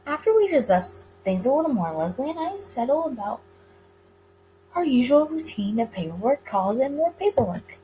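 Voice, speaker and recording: A woman reading a visual novel aloud as dramatic narration for a gaming video, with expressive delivery. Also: high voice, normal speed, slight background noise, poor audio.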